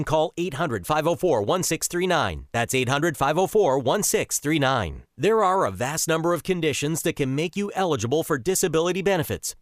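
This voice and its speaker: A male announcer with a slightly high voice reads a radio ad in a formal, measured tone. The sound is dry and close, with no room echo. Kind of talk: radio advertisement.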